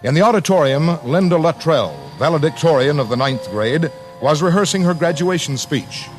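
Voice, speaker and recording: An American man narrates a 1950s radio documentary in a solemn, deliberate, scripted style with measured phrasing. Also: a little high, lively intonation. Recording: vintage 1950s radio broadcast, music underneath. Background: dramatic music.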